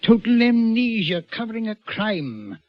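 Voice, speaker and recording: A man's voice: high voice, sing-song, measured pace, no echo. A man acting a troubled, defensive prison governor in a radio drama, speaking emphatically and insistently. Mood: agitated, weary. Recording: dry, dull lo-fi radio recording.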